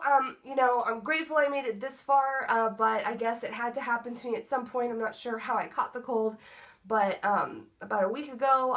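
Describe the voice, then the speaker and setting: Young woman w a stuffy cold nose, kinda high voice, chatty vlog talk full of ums. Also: fairly bright tone. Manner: chatty, many ums and likes. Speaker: vlogger. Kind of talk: casual pregnancy update vlog.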